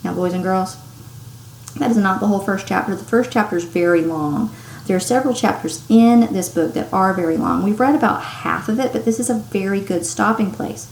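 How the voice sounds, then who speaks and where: A woman teacher reading a story aloud to children in an expressive, animated way with dramatic emphasis. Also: mid-range voice. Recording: echoey room with clear background noise.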